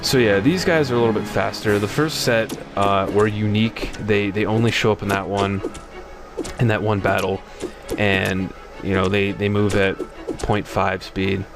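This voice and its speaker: A man with a deep voice giving relaxed, rambling commentary as he plays through a game and reads its text aloud. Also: normal speed. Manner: relaxed, rambling, many fillers and restarts; reads the game's dialogue aloud in his own voice. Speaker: let's play host. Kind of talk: casual gameplay commentary. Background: game music.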